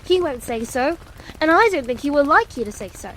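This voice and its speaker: A woman's voice: high voice, expressive, fairly quick, fairly bright tone, a little hiss or hum, pitched above the performer's own voice, rough recording. A woman voicing a self-possessed little princess in a storybook reading, precise and politely imperious. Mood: composed, indignant.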